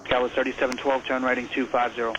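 A male approach controller rattles off headings and clearances at a very fast clip. His mid-range voice stays level and monotone. It comes through a noisy airband scanner radio with a steady hiss. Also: medium pitch, lo-fi sound. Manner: flat, rapid, businesslike delivery. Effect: two-way radio transmission. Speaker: air traffic approach controller. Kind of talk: clipped radio phraseology.

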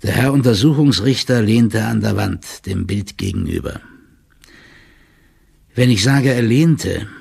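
An actor playing a stout official who reads out a stiff, drily ironic report in an audio drama, in a gravelly, husky, measured voice. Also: male voice, medium pitch, full character voice. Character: stout male official giving a stiff, wry written report.

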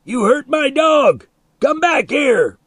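A man voicing a big, angry bully in a story, shouting, taunting and threatening in a raised voice. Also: mid-range voice, takes it slowly, dry recording, clean studio sound, natural voice. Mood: furious.

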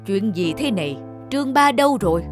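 An old god of chess from a cartoon fable. He is proud yet benevolent and speaks with archaic, lofty authority. Music plays clearly behind him. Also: high-pitched, lively intonation, brisk. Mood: concerned, commanding. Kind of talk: cartoon voice acting.

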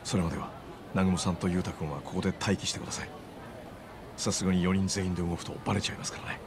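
An actor playing an easygoing male sidekick who talks in a relaxed, polite way. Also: sits low, quick pace, background noise. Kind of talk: video game cutscene acting.

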